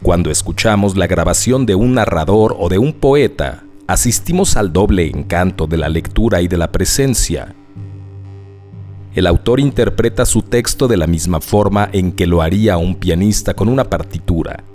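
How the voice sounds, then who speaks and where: A man reads a quoted passage in a very low voice. His delivery is solemn and unhurried. Soft music plays behind him. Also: animated. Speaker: reader of a quoted text. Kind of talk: scripted reading.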